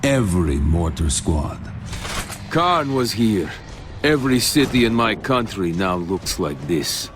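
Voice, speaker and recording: A man's voice: lowish voice, slow pace, background noise. An actor playing a dry, sardonic soldier, narrating his testimony in a video game cutscene. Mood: grimly deadpan. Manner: deadpan, wry.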